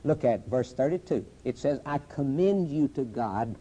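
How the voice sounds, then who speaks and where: A man's voice: medium pitch, lively intonation, unhurried, warm tone, slight background noise. A man preaching a fervent sermon on a TV program, driving his points with repeated rhetorical questions. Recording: dull lo-fi broadcast audio.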